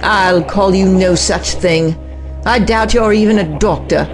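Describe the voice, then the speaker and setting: Man voicing a defiant scientist, slow, bitter, light music underneath. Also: high voice, rough recording. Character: defiant male scientist turning on his boss.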